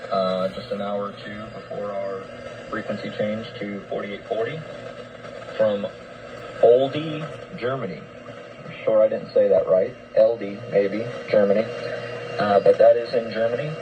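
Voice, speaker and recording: Man, low-pitched, radio co-host, informal, hesitant, casual chat, noticeable noise. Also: steady tone, unhurried, muffled recording. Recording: noisy shortwave radio broadcast.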